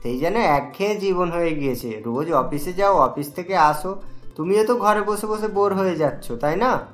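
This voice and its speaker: A man's voice: high voice, normal speed, fairly bright tone, soft background music. A man voicing a caring, patient young husband and son in a Bengali cartoon, speaking reassuringly. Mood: fond, indulgent.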